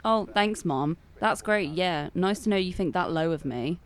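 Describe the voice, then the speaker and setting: A woman's voice: lowish voice, expressive, moderate pace, close to an everyday voice. A young woman playing a concerned, defensive nineteen-year-old in a fan-made audio drama.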